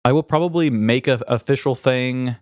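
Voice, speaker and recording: A man's voice: mid-range voice, moderate pace, darkish tone, no room sound, studio quality. A man hosting a podcast in a candid, unscripted chat, speaking hesitantly and informally while emotional from grief. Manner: hesitant, self-revealing, informal. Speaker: podcast host.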